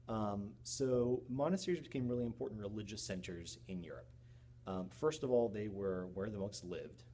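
A middle-aged man teaching history in an informal, halting, explanatory recorded lecture. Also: lowish voice, darkish tone. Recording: clear background noise with hum.

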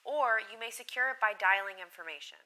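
Woman as a bright, formal telephone operator. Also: fairly high pitch, animated, quick pace, rough recording. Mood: formal, unmoved. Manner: formal, scripted, impersonal politeness. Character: unhelpful, by-the-book female telephone operator. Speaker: actress in a student radio play. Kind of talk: radio thriller acting.

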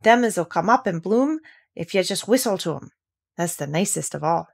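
A woman voicing a cheerful country boy with a Yorkshire accent, talking quickly and easily with good humour. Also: lowish voice, lively intonation, creaky, husky, full character voice. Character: cheerful country boy, easygoing, friendly with animals.

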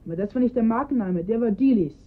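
Earnest young boy in husky, phone-like sound. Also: on the high side, expressive, fast talker. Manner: earnest, precise, detailed recounting. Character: bright, observant young boy, earnest kidnap witness. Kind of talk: German radio crime drama acting. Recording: muffled phone-like band-limited sound.

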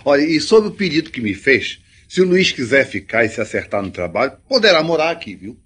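Radio drama: generous backwoods host, raspy, lively intonation. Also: male voice, mid-range voice, a little fast. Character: Roberto, easygoing, hospitable rural man. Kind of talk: Brazilian radio drama acting.

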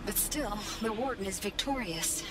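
A woman's voice: medium pitch, expressive, normal speed, close to an everyday voice. An actress voicing a polite, fussy ancient female AI guide in a video game, speaking formally and encouragingly. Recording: game audio with loud music, distant.

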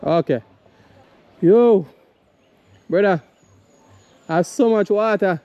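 A man's voice: sits high, slow, dark tone, dry recording, highs cut off. A Jamaican man talking casually in patois as he walks through a flooded neighbourhood and questions the locals. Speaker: vlogger touring a flooded area. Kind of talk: casual walk-and-talk vlog.

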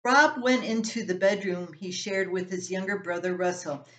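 A woman's voice: mid-range voice, moderate pace, fairly bright tone. A woman in her seventies reading her own personal story aloud in a plain-spoken way at home. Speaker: columnist reading own work. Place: small room at home, indoors. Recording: roomy home echo.

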